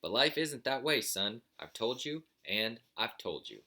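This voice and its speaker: A man's voice: medium pitch, big pitch swings, unhurried, pushed and bright, pitched above the performer's own voice, exaggerated voice. A man voicing a cranky, greedy old father buzzard who nags and blusters in a folksy way for a children's storybook. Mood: scolding, nagging. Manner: nagging, blustering, folksy lecturing.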